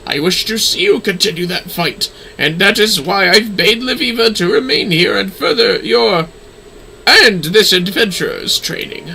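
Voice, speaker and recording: A man's voice: sits high, expressive, measured pace, vocal fry, bright, forceful tone, slight background noise, exaggerated voice, poor audio. A man voicing a theatrical, grand older professor of astrology from a game, speaking in a lofty, formal way. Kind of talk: game dialogue read-aloud.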